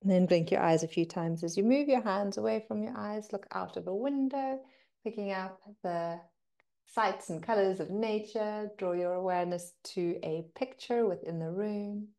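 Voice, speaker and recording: A woman leading a guided breathing meditation as a teacher, speaking gently and soothingly with lingering pauses. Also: mid-range voice.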